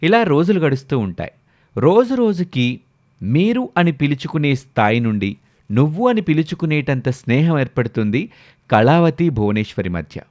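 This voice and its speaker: A man's voice: on the high side, sing-song, moderate pace, a little mellow. A man narrating a scripted village moral story.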